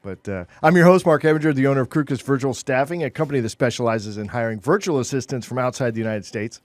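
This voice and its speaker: A man's voice: medium pitch, fast talker, no echo. Upbeat male podcast host. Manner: upbeat, conversational, fillers like "it's like". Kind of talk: casual interview hosting. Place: podcast studio.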